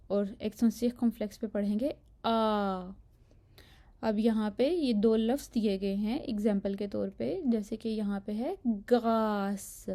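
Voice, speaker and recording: A woman teacher with a very slow, measured delivery and a soft-edged, mellow voice. She explains a lesson step by step, repeating key points and sounding out examples deliberately. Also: medium pitch. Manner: deliberate, repetitive, enunciating examples. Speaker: French teacher.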